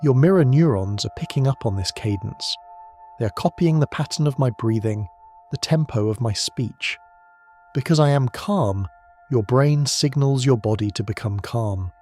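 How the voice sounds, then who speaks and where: A man giving calm, slow, soft-spoken bedtime narration. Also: medium pitch, soft background music. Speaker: sleep narrator.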